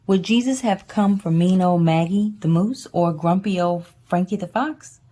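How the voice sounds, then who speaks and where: A curious little girl bear cub from a storybook, voiced by a woman, mellow and tender. The cub talks in simple, earnest words, as in a bedtime read-aloud. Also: fairly low pitch, normal speed, close to an everyday voice.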